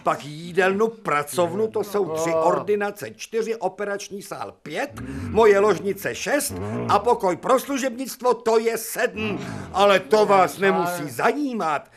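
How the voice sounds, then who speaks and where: Haughty, high-voiced professor, swooping delivery. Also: male voice, animated, a crowd in the background. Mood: imperious, irritated. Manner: precise, formal, cutting sarcasm. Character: haughty male professor surgeon, imperious, sarcastic, old-school bourgeois. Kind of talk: radio drama acting.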